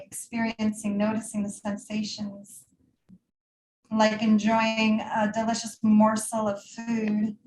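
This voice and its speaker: A woman teaching a guided yoga nidra meditation in a soothing, unhurried way, leaving pauses between trailing phrases. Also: mid-range voice, darkish tone, roomy sound, poor audio.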